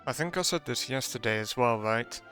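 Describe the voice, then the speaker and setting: A man's voice: mid-range voice, expressive, brisk, pushed and bright. A man giving casual gameplay commentary as a streamer, in chatty, offhand asides. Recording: faint game music behind.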